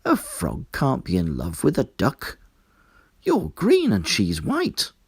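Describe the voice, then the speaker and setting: A man's voice: medium pitch, wildly swooping pitch, slow, vocal fry, breathy edge, no echo, studio quality, voice pushed up, full character voice. A man voicing a practical, blunt piglet with a questioning, matter-of-fact manner in a storybook reading. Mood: doubtful. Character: blunt, practical piglet friend, doubtful and inquisitive. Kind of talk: children's storybook character reading.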